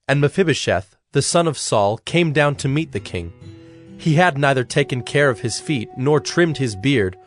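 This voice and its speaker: A man with a mid-pitched voice narrates scripture. His delivery is scripted and dramatic, in audiobook style, with music softly behind him.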